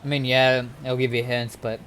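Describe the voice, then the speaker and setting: A man's voice: mid-range voice, little pitch movement, normal speed, fairly bright tone, a little hiss or hum. A man casually guiding newcomers through an online game, explaining step by step and somewhat hesitantly. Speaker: experienced game server player guiding newcomers.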